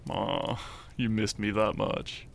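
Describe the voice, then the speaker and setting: A man playing a shy young farmer turned hero in an intimate romantic audio roleplay, tender and teasing, speaking softly and haltingly. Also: on the low side, steady tone, gravelly, slight background noise. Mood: wistful, earnest. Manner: soft, hesitant, halting, sighing and chuckling.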